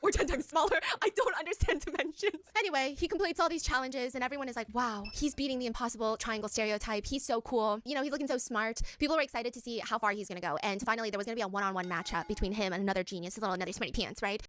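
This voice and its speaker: A woman's voice: a little high, very fast, few pauses, fairly bright tone, slight background noise. A woman with an American accent telling a story casually and animatedly, candid and given to swearing and hyperbole. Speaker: podcast host telling a celebrity story.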